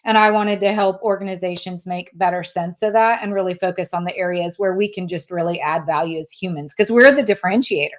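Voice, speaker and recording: A woman's voice: lowish voice, brisk, hardly any pauses, muffled recording. A woman in her fifties, a native German speaker, chatting candidly as a sales trainer guest and laughing often. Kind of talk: casual interview chat.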